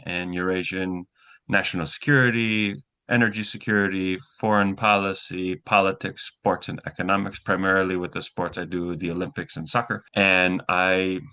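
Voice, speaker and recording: A man's voice: low voice, bright, forceful tone. A man in his thirties from the United States, whose first language is Ukrainian, giving methodical interview answers as a guest journalist. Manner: methodical, lists things item by item.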